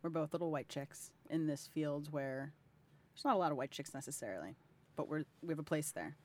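A woman's voice: deep voice, a little fast, a little mellow. A woman in her thirties speaking as an interviewer in a heartfelt, effusive and emotionally open conversation. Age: 30s. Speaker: interviewer and health advocate.

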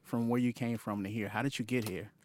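Low-voiced man asking interview questions. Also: a little fast. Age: 30s. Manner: calm, brief guiding questions. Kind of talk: oral history interview.

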